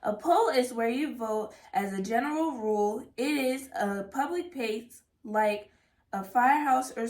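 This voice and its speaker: A woman reading a rhyming children's picture book aloud in a measured, careful way. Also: a little high. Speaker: read-aloud reader. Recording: echoey room.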